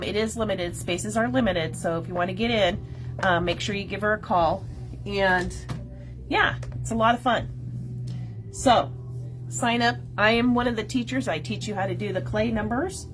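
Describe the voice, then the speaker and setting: A middle-aged shop owner with a scratchy, slightly nasal voice, chatting casually on a craft livestream. She greets viewers by name and fills pauses with "um". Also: medium pitch. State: scratchy, a bit nasal from a cold. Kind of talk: casual live-stream chat.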